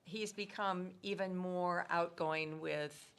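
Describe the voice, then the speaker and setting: A woman council member giving a committee report at a meeting, speaking loudly, as she says herself. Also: fairly low pitch, fairly even intonation, slow pace, clear, resonant tone.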